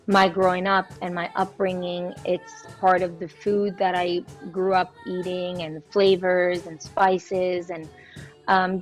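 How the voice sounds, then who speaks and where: A young woman from Israel, a singer, answering interview questions in a warm, proud, flowing way. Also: on the low side. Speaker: guest singer. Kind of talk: casual interview chat.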